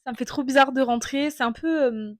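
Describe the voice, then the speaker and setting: A woman in her twenties with an accent from France, talking candidly into a small clip-on mic and often pausing to hesitate. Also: high-pitched, dry recording, clean studio sound. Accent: French from France. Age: 20s. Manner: reflective, candid, many hesitations. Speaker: vlogger. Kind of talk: casual personal monologue.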